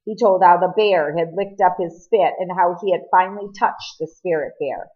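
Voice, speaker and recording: A woman with a fairly low voice narrates a storybook with expression. The sound is somewhat muffled, like a lo-fi home recording. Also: normal speed. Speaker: audiobook reader.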